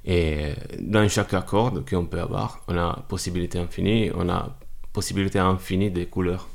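Man, Italian native speaker, very low voice, hesitant, musician guest. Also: fairly even intonation, normal speed, vocal fry. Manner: hesitant, searching for words. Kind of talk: casual interview talk.

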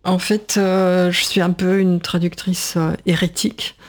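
A woman's voice: fairly low pitch, level tone, unhurried. A woman in her sixties, a writer and translator, speaks as a guest in a radio interview, thinking aloud with many fillers and restarts. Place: radio studio.

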